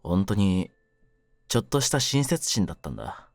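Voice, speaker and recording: A man playing a cynical, wounded young mafia kidnapper in an audio drama, with a low voice and rough, brooding delivery. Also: very animated, normal speed, a little mellow, dry recording, clean studio sound, soft background music. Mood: wistful. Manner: rough masculine slang, taunting, brooding delivery. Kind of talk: Japanese situation-voice audio drama, ASMR.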